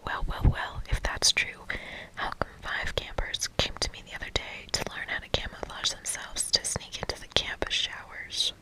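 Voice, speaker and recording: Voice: moderate pace, lightly acted, rough recording. Reader playing a boastful master-of-disguise camp counselor for a game, earnest and pompous, fully whispered. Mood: indignant, boastful.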